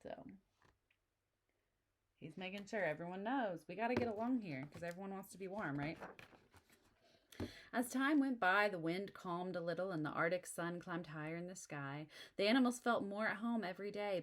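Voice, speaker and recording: Friendly woman, slightly low voice, reading a picture book aloud with asides for kids. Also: lively intonation, normal speed, a little hiss or hum. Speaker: children's book reader.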